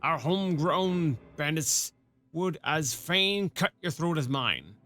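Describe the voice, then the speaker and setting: A man voicing a gruff one-armed old army sergeant with a put-on rustic dialect, drawling slowly, in a storybook reading. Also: fairly high pitch, animated, pushed and bright, exaggerated voice.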